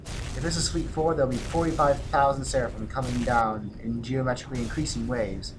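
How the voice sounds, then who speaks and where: A man's voice: medium pitch, fairly even intonation, brisk, background noise, close to an everyday voice. A man acting as a hard-bitten, resolute revolutionary general in an audio drama, urgent and commanding. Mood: grimly matter-of-fact.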